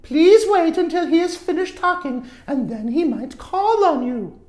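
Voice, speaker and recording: A woman voicing a stern but patient storybook schoolteacher correcting pupils in a firm, deliberate way. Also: extremely high pitch, expressive, darkish tone, roomy sound, voice pushed up.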